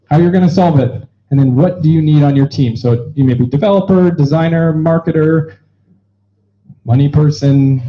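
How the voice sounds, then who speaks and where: A man facilitating an event, explaining the rules to an audience in an upbeat, joking way. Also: on the high side. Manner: upbeat, joking, quick asides. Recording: dull lo-fi sound.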